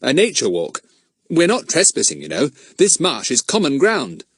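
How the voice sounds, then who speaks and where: A man voicing a confident, clever boy who leads his friends, speaking briskly and with assurance. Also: fairly high pitch, huge pitch swings, slightly rough, no room sound, clean studio sound, pitched above the performer's own voice, exaggerated voice. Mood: defiant.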